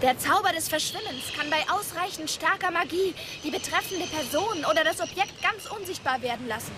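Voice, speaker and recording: A woman's voice: very high-pitched, expressive, fairly quick, background noise. An actress playing a keen young female sorceress in a fantasy radio drama. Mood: explanatory. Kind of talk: German radio drama dialogue. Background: music.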